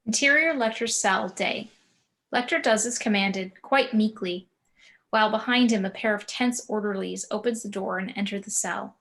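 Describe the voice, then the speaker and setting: Mid-pitched woman narrating a screenplay. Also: normal speed, a bit bright. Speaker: narrator reading action description. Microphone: video call.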